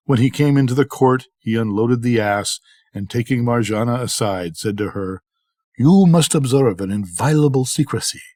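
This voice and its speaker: A man's voice: medium pitch, a little mellow, no room sound, studio quality. An older man reading a bedtime story aloud as a storyteller.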